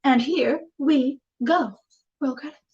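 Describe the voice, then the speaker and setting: A woman with a high voice hosts a web show in a chatty, self-mocking way. She is bubbly and theatrical, and sounds a little distant from the microphone. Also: slow pace, darkish tone, highs cut off. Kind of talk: livestream hosting, casual chatty announcements. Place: indoor room with a door. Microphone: camera on a tripod, a little distant.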